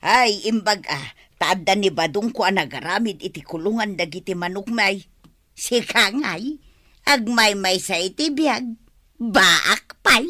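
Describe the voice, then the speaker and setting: Actress as an outspoken Filipino wife, bright, lively. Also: female voice, mid-range voice, a little slow, no room sound, studio quality. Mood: chatty. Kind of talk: Filipino radio drama acting.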